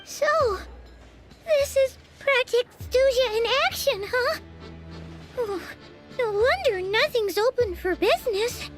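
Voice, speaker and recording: Tiny fairy sidekick, extremely high childlike voice, slow, innocent, game dialogue, loud music. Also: female voice, expressive, full character voice. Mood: curious, sympathetic. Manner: childlike, speaks of herself in third person. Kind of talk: video game voice acting.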